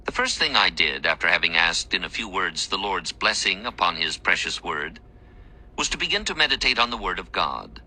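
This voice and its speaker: Deep-voiced man, very forceful devotional narration. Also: sing-song, poor audio. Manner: measured, formal, earnest. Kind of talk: scripted dramatic reading of a first-person devotional memoir.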